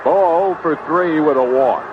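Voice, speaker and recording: A man's voice: on the high side, unhurried. An American man calling baseball play-by-play in clipped, terse phrases. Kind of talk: live sports commentary. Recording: noisy, dull 1970s radio broadcast tape.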